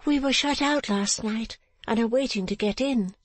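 A woman voicing a gentle, small, childlike young woman from a classic novel, meek yet brave, earnest and polite. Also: on the high side, normal speed, dry recording, voice pushed up, lightly acted. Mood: polite, timid. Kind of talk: dramatized audiobook reading of a classic novel.

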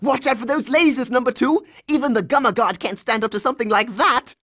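A man's voice: very high-pitched, fairly quick, pushed and bright, exaggerated voice. A man voicing an earnest, commanding boy team leader in a cartoon video game. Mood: alarmed.

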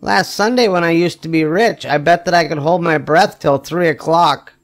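An older man reading a children's picture book aloud expressively, with emphatic phrasing and drawn-out wry punchlines. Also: on the high side. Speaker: storybook reader.

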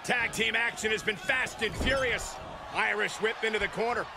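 A man playing an excitable, emphatic wrestling play-by-play announcer in a video game, projecting his commentary. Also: high-pitched, normal speed, creaky. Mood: excited. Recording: loud arena crowd noise behind voice. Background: arena crowd and ring thuds.

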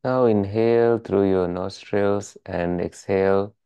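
A meditation teacher leading a guided relaxation in calm, measured, soothing instructions. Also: low voice, clear, resonant tone, no room sound, dull audio.

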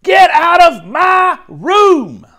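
Storybook brat played by a man: small stubborn girl, high-pitched, whining and protesting at full volume. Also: very animated, heavily acted. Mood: upset shouting. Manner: loud, whining, drawn-out protests. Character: stubborn, whiny little girl having a tantrum. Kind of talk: storybook character voice.

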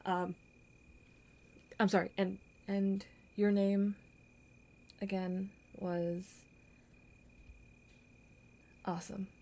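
A young woman playing a kind, awkward popular girl in an audio roleplay, with halting, stammering, flustered delivery. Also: mid-range voice, little pitch movement, a little mellow. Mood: awkward, friendly. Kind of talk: intimate audio roleplay acting.

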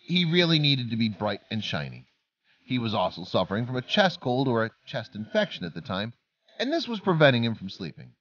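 A man's voice: mid-range voice, very animated, fairly quick, a bit bright, dry recording, muffled recording. A man narrating a scripted documentary-style story as a voice-over.

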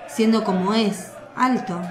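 A woman narrating a radio drama in a steady, descriptive read. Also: medium pitch, a little slow, reverberant, noisy background.

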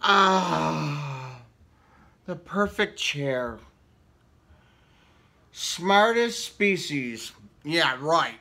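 Man, children's story reader, slow pace, bright tone, theatrical, casual asides. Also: on the high side, expressive, vocal fry. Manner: theatrical, asks the young listeners questions. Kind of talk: animated picture-book read-aloud with casual asides. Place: indoor room with book cut-outs.

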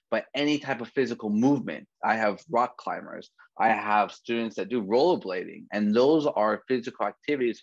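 A man in his thirties from the Bay Area, a physical education teacher presenting over slides in a relaxed way, with many fillers and restarts. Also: fairly low pitch, no echo. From San Francisco Bay Area. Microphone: video call. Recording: dry, dull lo-fi video call audio.

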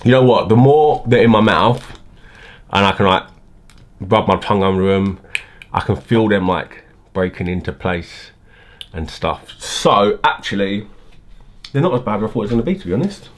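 A man's voice: fairly low pitch, normal speed, pauses often. A man in his thirties with a slight lisp from new teeth aligners, chatting casually in a home vlog while fiddling with his teeth. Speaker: vlogger. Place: home room by a window.

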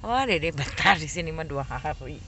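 Woman chatting over loud car noise. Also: deep voice, lively intonation, moderate pace. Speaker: family travel vlogger in the passenger seat. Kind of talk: casual chat narrating a road trip. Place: inside a moving car. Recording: noisy car interior, road and engine hum.

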